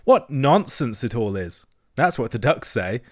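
A man's voice: mid-range voice, very animated, normal speed, no room sound, natural voice. Guy doing a chatty good natured water rat from a kids storybook, close dry sound. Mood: cheerful, mocking. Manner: indignant, opinionated, colloquial. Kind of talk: children's storybook character voice.

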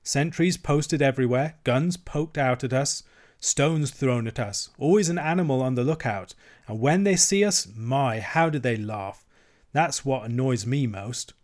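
A man voicing an excitable, blunt storybook mole with an exclamatory, plain-spoken manner. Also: mid-range voice, unhurried, close to an everyday voice. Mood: grumpy.

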